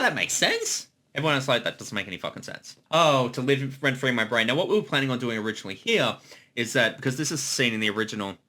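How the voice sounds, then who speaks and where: A man's voice: medium pitch, sharp tone. A man giving casual behind-the-scenes creator commentary, eagerly stammering and repeating words as he explains.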